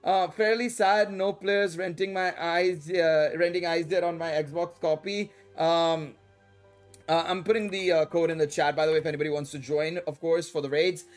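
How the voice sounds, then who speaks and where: A man's voice: fairly high pitch, fairly even intonation, moderate pace. A man with an Indian English accent that drifts toward British and American, chatting casually and in a rambling, slangy way as he streams a game. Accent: shifting Indian English with British and American touches. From Dubai and India. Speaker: live streamer. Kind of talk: casual livestream chat during gameplay. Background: music.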